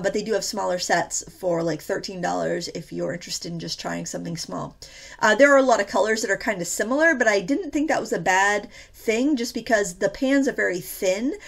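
A woman's voice: mid-range voice, creaky. A woman hosting a painting tutorial, chatting casually with lots of fillers, a little stuffy from a cold. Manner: chatty, rambling, many fillers. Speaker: art tutorial host.

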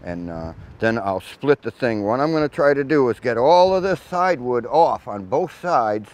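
A man's voice: mid-range voice, expressive, normal speed. An older man, a shipwright, explaining chainsaw work step by step outdoors in a plainspoken, practical way, recorded on a lapel mic. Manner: plainspoken, practical, folksy asides. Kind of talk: hands-on instructional explanation. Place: outdoors in a grassy yard by a mill.

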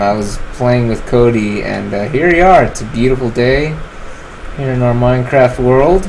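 A man's voice: mid-range voice, background noise. A man of twenty chatting casually over his game, rambling with lots of fillers as he decides what to craft. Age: 20s. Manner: rambling, filler-heavy, relaxed. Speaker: gaming channel host. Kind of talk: casual gameplay commentary.

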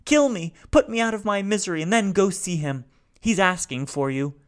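A man voicing an old male alien machine creature, rasping and anguished as he pleads to be killed. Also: sits high, moderate pace, voice pushed up, natural voice. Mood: despairing plea.

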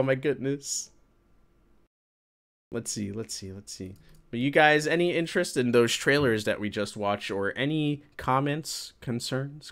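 A man, hosting a livestream and chatting casually with viewers in an animated, colloquial way. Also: medium pitch. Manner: animated, colloquial, reacting to chat. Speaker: livestream host. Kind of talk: casual chat with live viewers. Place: indoor room with green screen.

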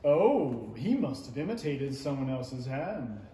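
A man's voice: medium pitch, big pitch swings, takes it slowly, dark tone. A young man playing a muddled, self-satisfied king in a 1930s-style radio play, hemming and musing pompously. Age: young adult. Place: indoor stage in a small theatre. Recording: echoing stage pickup, faint noise.

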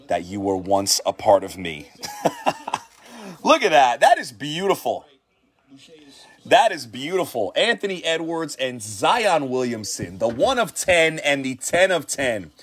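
A man hosting a card reveal from his shop, talking with excited hype and repeating his praise. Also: mid-range voice. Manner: hyped, repeats himself. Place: shop desk indoors.

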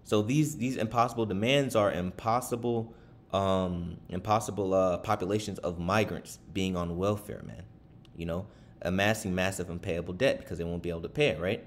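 Deep-voiced man rambling through commentary. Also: fairly even intonation, moderate pace, slight background noise. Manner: rambling, many fillers and restarts, reads aloud from a web page. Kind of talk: casual screen-share commentary.

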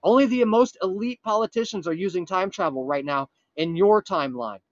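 A man playing a frantic, earnest time traveler from the future on a prank call, urgent, pleading and halting with dramatic pauses. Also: sits high, dry recording, dull audio, lightly acted. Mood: solemn pleading. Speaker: prank caller. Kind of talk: improvised comic prank phone call.

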